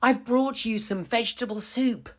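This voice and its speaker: A woman's voice: a little high, normal speed, highs cut off, pitched above the performer's own voice, close to an everyday voice. A woman voicing a sweet, trusting little girl in a storybook, wide-eyed and earnest. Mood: cheerful, trusting.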